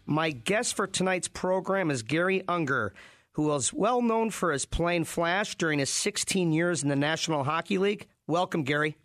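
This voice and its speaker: Man, radio interview host, slightly high pitch, dry close sound, sports talk format. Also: moderate pace, fairly bright tone, dry recording.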